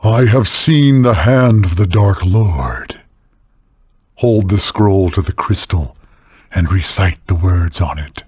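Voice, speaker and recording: An actor playing a solemn, commanding keeper of spirit trials in a fantasy video game, with a husky voice and measured, instructive delivery. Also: male voice, low-pitched, darkish tone, dry recording, studio quality, heavily acted.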